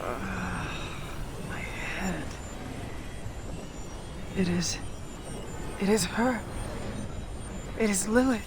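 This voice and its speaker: An actress playing a solemn warrior heroine in a video game, speaking in a measured, formal, stoic way. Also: female voice, medium pitch, background noise. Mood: shaken.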